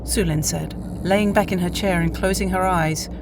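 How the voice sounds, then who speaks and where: A woman's voice: lowish voice, steady tone, moderate pace, background noise. A woman reading scripted story narration for an audio play.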